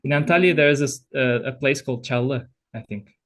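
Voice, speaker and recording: Man giving a relaxed talk. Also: medium pitch, fast. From Tatarstan, Russia. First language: Tatar. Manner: easygoing, frequent ums and small laughs. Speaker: guest speaker. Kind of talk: lecture presentation with slides. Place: university event room.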